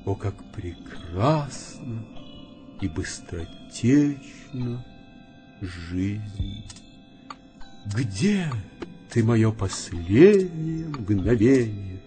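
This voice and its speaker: An actor playing a grandiloquent fly gentleman who relishes decay, declaiming pompously in an audio drama. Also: on the low side, big pitch swings, extremely slow, pauses often, soft background music, heavily acted. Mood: rapturous.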